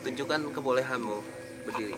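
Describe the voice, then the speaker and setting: Man softly coaxing, music blaring. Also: mid-range voice, quick pace. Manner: repetitive, soothing commands. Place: outdoor field. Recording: loud music, mic at distance. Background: loud music.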